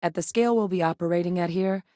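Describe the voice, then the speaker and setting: Actress for a low-voiced, no-nonsense scientist-officer in a game, matter-of-fact, dry studio read. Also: female voice, mellow, no echo, lightly acted. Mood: steady. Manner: measured, clipped, matter-of-fact. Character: composed woman scientist with military past. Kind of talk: video game voice lines.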